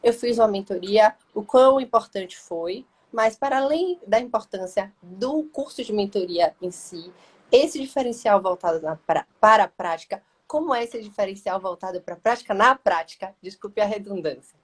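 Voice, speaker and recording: A woman's voice: mid-range voice, moderate pace, husky. Chatty Bahian woman hosting a live stream. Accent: Bahian Brazilian Portuguese. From Bahia, Brazil. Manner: chatty and affectionate, long rambling sentences. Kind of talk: casual live-stream interview.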